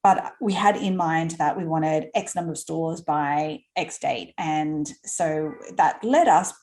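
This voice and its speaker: Chatty Australian woman, lower voice. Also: slow pace, darkish tone. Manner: chatty, laughs often, many fillers and restarts. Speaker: guest entrepreneur. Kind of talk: casual conversational interview.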